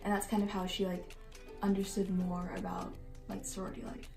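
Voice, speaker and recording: A woman's voice: mid-range voice, flat delivery, brisk, darkish tone. A nineteen-year-old woman giving casual, conversational interview answers, often padding them with "like" and "kind of". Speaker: college student, sorority member. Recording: echoey, distant mic, music underneath.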